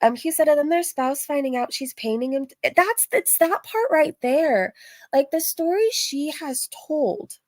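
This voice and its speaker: A woman's voice: sits high, lively intonation, measured pace, darkish tone, no echo. A woman hosting a livestream in a casual, rambling, informal way, repeating phrases for emphasis as she chats. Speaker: livestream host reacting to drama and reading chat.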